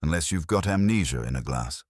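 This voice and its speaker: A man's voice: extremely low pitch, animated, a little fast, no echo, studio quality. A man voicing a bitter, hard-drinking man in his thirties in an audiobook, curt and sarcastic. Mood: bitter, defensive.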